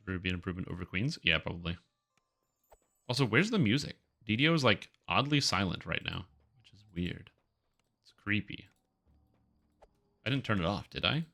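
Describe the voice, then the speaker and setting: A man's voice: deep voice, very animated, fairly quick, gravelly, long pauses, sharp tone. A man with a congested, nasal voice chatting casually and ramblingly on a game live stream, close to the microphone. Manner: rambling, talks to himself and viewers. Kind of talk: casual live-stream chat while gaming.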